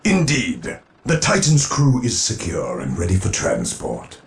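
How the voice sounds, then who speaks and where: An actor playing a solemn, pious armored space marine commander in a video game, grave and formal, with a gravelly voice. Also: male voice, deep voice, huge pitch swings, a little slow, slight background noise, exaggerated voice. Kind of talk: dramatic video game voice acting. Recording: echoing voice under loud music.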